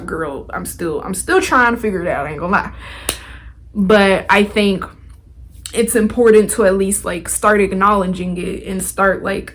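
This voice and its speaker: A woman's voice: mid-range voice, slight background noise. A young adult woman hosting a podcast, talking in a casual, motivational way with chatty, informal, slangy phrasing. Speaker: podcast host.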